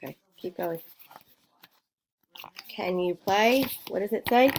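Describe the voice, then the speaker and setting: A woman's voice: mid-range voice, slow, gravelly. Woman, mother, casual home talk, encouraging, instructive, short prompting questions, faintly noisy recording with clicks. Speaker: mother coaching her child's reading practice.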